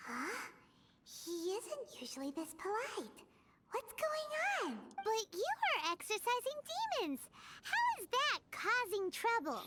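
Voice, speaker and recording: A woman voicing a tiny female fairy companion from a game, chirpy and fretful, with a high, childlike, squeaky voice. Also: sing-song, slow, noisy background, heavily acted. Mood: pleading. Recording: game audio with loud music.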